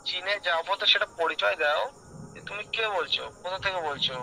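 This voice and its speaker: A man's voice: sits high, brisk, vocal fry, pushed and bright, slight background noise, rough recording. A man in a casual phone conversation, questioning the other person insistently. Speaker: prank call recipient.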